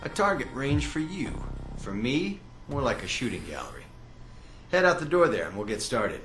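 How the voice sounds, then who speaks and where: An actor playing a smug tech agent who trains recruits in a video game, casual and teasing in manner. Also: male voice, medium pitch, very animated, normal speed, fairly bright tone. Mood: needling. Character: cocky, needling male tech agent and range instructor. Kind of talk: video game cutscene acting. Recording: roomy echo, music behind.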